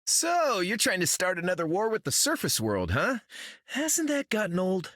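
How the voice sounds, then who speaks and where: Cocky, animated young hotshot. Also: male voice, sits high, normal speed, dry recording, clean studio sound, exaggerated voice. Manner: breezy, teasing, slangy. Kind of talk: video game voice acting.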